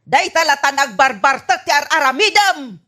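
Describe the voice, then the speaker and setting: Woman playing a shrill, scolding Ilocano wife. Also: animated, normal speed, bright, forceful tone. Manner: scolding, indignant. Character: nagging, exasperated wife of a drunkard. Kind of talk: broad comedy radio drama acting.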